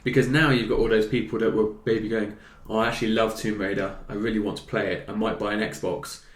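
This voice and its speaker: A man's voice: on the low side, little pitch movement, fairly quick. Hungover young man hosting, echoey room. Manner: chatty, jokey, teasing. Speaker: host. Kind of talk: casual gaming news chat. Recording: roomy echo.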